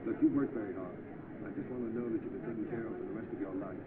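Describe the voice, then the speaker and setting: A man playing a generous, earnest romantic gentleman in an old film, on a noisy, echoey soundtrack. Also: fairly low pitch, very fast, narrow, tinny audio, natural voice. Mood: warm, earnest.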